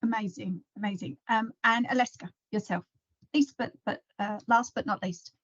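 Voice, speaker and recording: A woman's voice: medium pitch, normal speed, no echo. A woman hosting a panel talk, welcoming her guests in an upbeat, friendly way. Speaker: podcast host. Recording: dull lo-fi sound.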